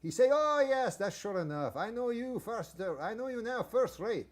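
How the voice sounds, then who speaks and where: A man's voice: extremely high pitch, expressive, moderate pace. A man voicing a vain, aloof returned emigrant who speaks with a French-Canadian accent. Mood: haughty. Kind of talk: dialect poem recitation.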